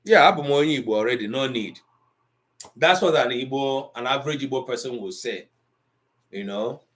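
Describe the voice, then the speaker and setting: A man's voice: medium pitch, a little fast, fairly bright tone. A middle-aged man teaching an Igbo language class online, lecturing in a conversational way that mixes English and Igbo.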